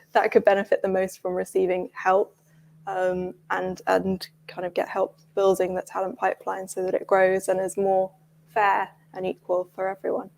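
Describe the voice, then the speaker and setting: Flat, gravelly-voiced woman panelist. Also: medium pitch, a little hiss or hum. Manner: hesitant, many fillers. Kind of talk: conference panel talk.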